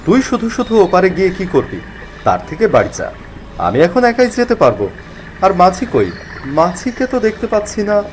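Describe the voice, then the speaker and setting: A man voicing a frightened young man in an animated horror story, urgent and pleading as he questions. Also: sits high, moderate pace, faint music behind, pitched above the performer's own voice. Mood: anxious questioning.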